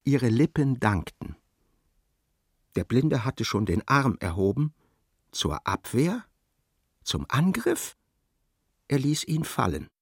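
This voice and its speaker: A man's voice: mid-range voice, very animated, slow, long pauses, breathy edge, no room sound, clean studio sound, heavily acted. A man narrating a literary radio play in a dramatic, scripted style.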